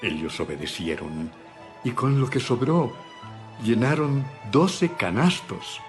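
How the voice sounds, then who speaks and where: A man reading scripted Bible narration with solemn, deliberate phrasing. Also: medium pitch, expressive. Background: background music.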